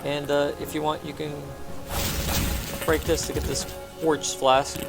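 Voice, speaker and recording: A man with a slightly high voice commentates casually on his own gameplay. He talks in short, offhand phrases as he goes. Clear game music runs behind him. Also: little pitch movement, measured pace.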